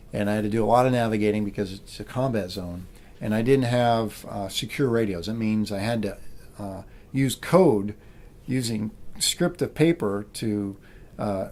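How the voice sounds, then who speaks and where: A middle-aged man with an American accent, a military pilot giving an anecdotal talk to a room in an animated storytelling manner. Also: medium pitch.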